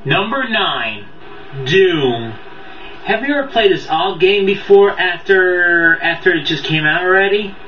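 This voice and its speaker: A man's voice: high-pitched, expressive, measured pace, a little hiss or hum. A man giving a casual, unscripted video review, rambling and stumbling as he repeats his words. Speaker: amateur video game movie reviewer. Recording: boxy echoey room, distant dull mic, background audio.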